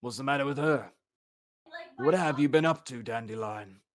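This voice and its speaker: Reader voicing a terse male monster hunter; low, quiet, clipped. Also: normal speed, close to an everyday voice. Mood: dry. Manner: terse, subdued.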